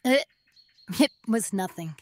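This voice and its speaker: A woman voicing a shy, modest young woman who speaks haltingly. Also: fairly high pitch, expressive, a little mellow, no echo, pitched above the performer's own voice. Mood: bashful. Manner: halting, stammering.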